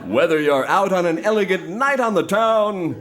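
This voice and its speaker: A man performs a folksy old-time radio announcer pitching sponsors on a variety show. The voice is fairly high and very animated, with a theatrical salesman's delivery. It is a live stage recording with some background noise. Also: normal speed. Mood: mock-earnest selling.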